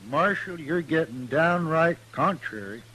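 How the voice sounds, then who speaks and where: A man's voice: fairly high pitch, expressive, unhurried, sharp tone. An actor playing a stubborn Ozark mountain man in a 1950s Western radio drama, with a rustic hillbilly drawl. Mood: stubborn, calm. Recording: noisy old radio drama recording.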